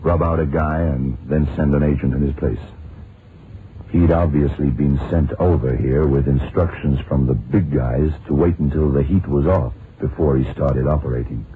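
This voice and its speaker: An actor playing a shrewd old blind private detective in a 1970s radio drama, terse, dry and deliberate. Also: male voice, very deep voice. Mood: dry, knowing. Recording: muffled, hissy old radio drama recording.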